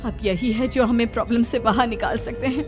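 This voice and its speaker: A woman's voice: on the high side, fairly even intonation, brisk, music in the background, pitched above the performer's own voice, lightly acted. A woman voicing a frightened, pleading mother in a melodramatic horror cartoon. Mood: anxious soothing. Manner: pleading, panicky, tearful. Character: terrified pleading village mother protecting her young son.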